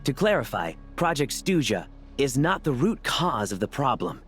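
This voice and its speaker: A man's voice: mid-range voice, big pitch swings, normal speed, a bit bright, soft background music. A man voicing a diplomatic official aide in a video game, courteous, formal and explanatory. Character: polite, measured male aide, diplomatic and official. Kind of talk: video game character acting.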